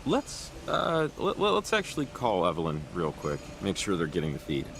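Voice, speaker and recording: An actor playing a chatty, excitable young man in a video game, rambling and hesitant in a casual way. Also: low-pitched, normal speed, vocal fry, background noise, exaggerated voice. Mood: casual, unsure. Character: chatty, excitable young man, goofy local believer in ghost stories. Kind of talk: naturalistic video game dialogue.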